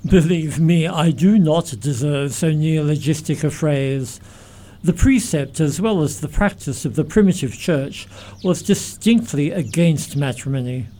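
Guy doing pompous courtly vicar in a radio play, soft mellow tone, slightly high voice, very formal. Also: measured pace, natural voice. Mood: pedantic. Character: pedantic, mild, courtly clergyman. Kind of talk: theatrical comedy-of-manners radio acting.

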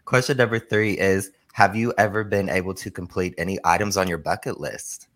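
A man's voice: sits low, fairly even intonation, brisk, fairly bright tone. A man in his thirties hosting a podcast, asking guests icebreaker questions in a friendly, upbeat way. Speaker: podcast host. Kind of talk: casual podcast interview.

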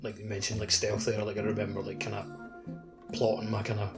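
A man's voice: lowish voice, completely flat delivery, fast talker, over music. A man co-hosting a podcast, chatting casually about gaming. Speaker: podcast co-host.

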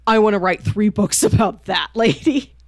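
A woman co-hosting a book podcast in casual conversation, telling stories in an animated, informal way. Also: medium pitch, moderate pace, dry recording, studio quality. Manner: animated storyteller, acting out quoted lines informally. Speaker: podcast co-host reviewing books.

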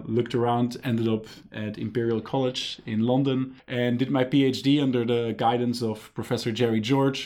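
A man in his thirties from Belgium, a professor telling his career story in a relaxed interview in his office. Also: mid-range voice. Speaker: guest, professor. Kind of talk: casual interview talk. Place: university office.

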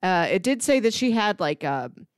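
A woman's voice: medium pitch, sing-song, moderate pace, clean tone, no echo, studio quality. A woman co-hosting a podcast in casual, conversational style, reacting to her co-host.

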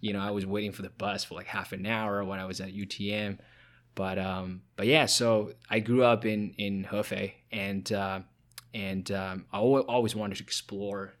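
A man's voice: fairly low pitch, flat delivery, moderate pace. A young man from China, a podcast guest and founder, chatting casually with many fillers and restarts. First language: Chinese. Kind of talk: casual interview chat.

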